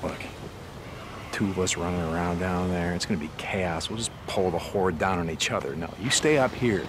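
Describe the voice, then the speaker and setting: An actor playing a gruff, guarded outlaw biker turned militia corporal in a video game, with an American accent. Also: male voice, sits low, normal speed, background noise, natural voice. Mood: determined. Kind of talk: video game cutscene acting. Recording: game cutscene with music behind.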